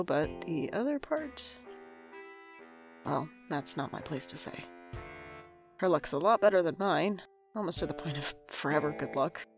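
A woman's voice: fairly low pitch, lightly acted. A woman acting as a sardonic, stubborn older sibling in a naturalistic audio drama, with a dry, sarcastic delivery. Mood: wry, reflective. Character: sardonic, guarded older sibling, stubborn and bitter about parents.

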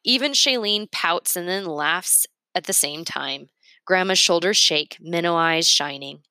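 A woman narrating a storybook aloud in an expressive read-aloud style. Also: fairly low pitch, unhurried, no echo.

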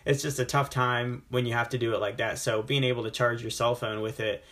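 A man's voice: mid-range voice, little pitch movement, brisk, a bit bright. A man hosting a casual podcast interview, talking enthusiastically and at length, often stopping to say "you know". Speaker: podcast host.

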